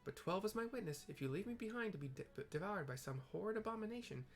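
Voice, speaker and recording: A man's voice: high voice, lively intonation, fast talker, soft background music, voice pushed up. A man voicing a haughty, reluctant researcher in a video game, with formal and disdainful phrasing. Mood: indignant, reluctant. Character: haughty, reluctant scholarly researcher, fussy and self-important.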